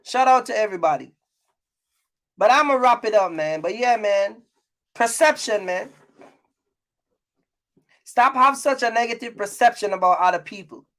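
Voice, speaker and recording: A man's voice: sits high, moderate pace, pauses often, a bit bright. A man hosting a casual, opinionated vlog, speaking in an emphatic, colloquial way and repeating his points. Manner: repetitive, emphatic, colloquial, chuckles at own points. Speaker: YouTube host, trucking business creator.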